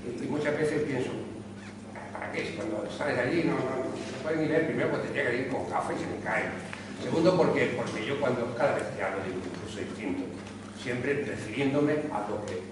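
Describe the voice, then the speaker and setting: A man in his sixties from Córdoba, giving an impassioned talk at a neighborhood meeting, full of rhetorical questions. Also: medium pitch. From Spain (Córdoba). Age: 60s. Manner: rhetorical questions, emphatic and earnest. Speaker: speaker at a public talk. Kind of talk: impassioned public speech. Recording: echoey hall, distant mic, background noise.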